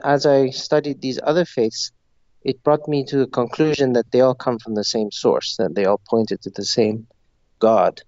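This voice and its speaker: A man's voice: medium pitch, steady tone, moderate pace, darkish tone, no room sound, dull audio. A middle-aged man from Zambia answering interview questions in a thoughtful, earnest way. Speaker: interview guest, novelist.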